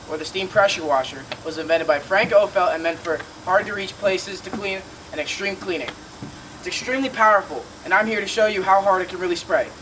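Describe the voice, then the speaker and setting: A young woman reading a scripted amateur radio advertisement as an announcer. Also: lowish voice. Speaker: commercial announcer in a student project. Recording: roomy, distant mic, faint background noise.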